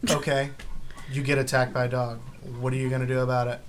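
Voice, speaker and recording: A man's voice: mid-range voice, flat delivery, quick pace, slight background noise. A man hosting a podcast, talking in casual chat in a blunt, joking, opinionated way.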